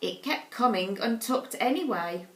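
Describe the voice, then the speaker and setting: Cheery giant, played by a woman, proud and kindly, fairly high, jaunty, echoey room. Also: lively intonation, unhurried, a bit bright. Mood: cheerful. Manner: jaunty, encouraging, sometimes sing-song. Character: kind, proud, cheerful young male giant.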